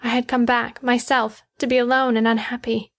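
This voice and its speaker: A woman's voice: fairly high pitch, little pitch movement, moderate pace, voice pushed up, close to an everyday voice. A woman voicing a proud, defiant young woman in love, emphatic and earnest, in an audiobook. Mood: reproachful, heartfelt. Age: young adult. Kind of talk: audiobook character dialogue.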